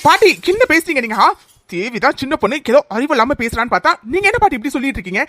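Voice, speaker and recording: A woman's voice: high-pitched, big pitch swings, very fast, fairly bright tone, slight background noise. A woman playing an indignant younger relative, scolding and protesting, in a melodramatic audio serial. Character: indignant younger female relative defending an accused girl.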